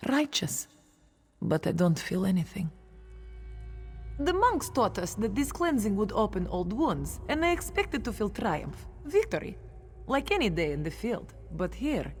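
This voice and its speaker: A woman's voice: medium pitch, sing-song, a little slow, soft background music. An actress playing a proud, grieving young cat-folk warrior woman in a video game, with earnest dramatic delivery. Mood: solemn, reflective. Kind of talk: video game character dialogue.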